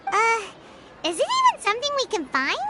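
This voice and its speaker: A woman voicing a tiny, childlike fairy companion in a video game, blunt and excitable. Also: extremely high pitch, moderate pace, very noisy, heavily acted. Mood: doubtful. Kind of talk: scripted video game voice acting. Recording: loud background music over the voice.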